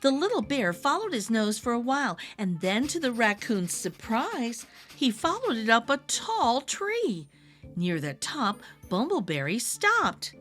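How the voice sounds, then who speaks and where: A woman reading a children's story aloud with expressive, animated narration, addressing young listeners. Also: fairly high pitch, a little slow, soft background music.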